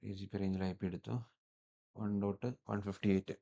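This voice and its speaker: A Malayali man presents a hands-on tutorial in a fairly low voice. His intonation stays very flat. He sounds matter-of-fact and unscripted as he moves from one step to the next. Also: fast talker, vocal fry, muffled recording. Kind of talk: hands-on technical walkthrough, unscripted.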